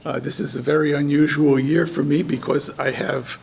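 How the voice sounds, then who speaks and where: A hoarse man organizing a contest gives halting welcome remarks at a ceremony, with many ums and restarts. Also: a little high, level tone, a little mellow, a little hiss or hum. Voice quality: gravelly. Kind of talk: informal ceremony speech. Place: community event hall.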